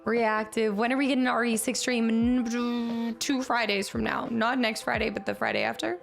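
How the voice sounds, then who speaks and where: A woman streamer chatting casually with her viewers and thanking them, upbeat, her voice a little raspy today. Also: a little high, lively intonation, normal speed, faint music behind. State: slightly raspy voice. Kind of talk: casual stream chat reading chat. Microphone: streaming mic.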